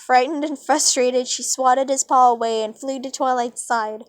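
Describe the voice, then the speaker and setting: A woman's voice: high-pitched, fairly even intonation, normal speed, no room sound, clean studio sound. A woman reading a story aloud as an amateur narrator, informal and dramatic, with casual asides. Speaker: fan fiction reader.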